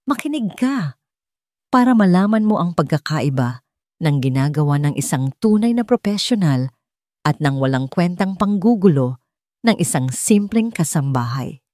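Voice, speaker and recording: A woman's voice: fairly low pitch, very animated, moderate pace, dark tone, no room sound, studio quality. A woman voicing a haughty, commanding elderly rich matriarch with a cold manner in an audio drama story. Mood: cold contempt.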